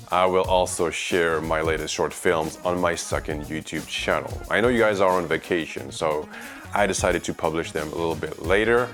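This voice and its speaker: Male vlogger, low voice, presenting gear reviews over background music. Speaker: video creator and reviewer. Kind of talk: product review vlog narration to viewers.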